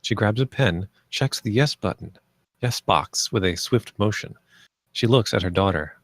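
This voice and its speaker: A man with a lowish voice narrates the stage directions of a screenplay. His delivery is plain and measured, and the sound is dry and close. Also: no room sound. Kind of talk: scripted narration at a screenplay table read.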